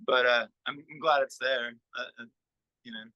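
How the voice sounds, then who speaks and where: Halting, bright-voiced man in casual conversation. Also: medium pitch, steady tone, no room sound, dull audio. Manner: halting, searching for words, trailing off with "you know". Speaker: musician being interviewed.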